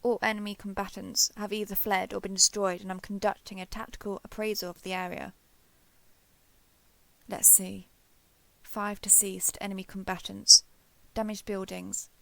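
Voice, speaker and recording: A woman playing a giant friendly alien robot soldier in an audio roleplay, gentle, reassuring and a little awkward with the listener. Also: mid-range voice, creaky, no room sound, rough recording. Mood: businesslike report. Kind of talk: scripted roleplay audio drama addressed to listener.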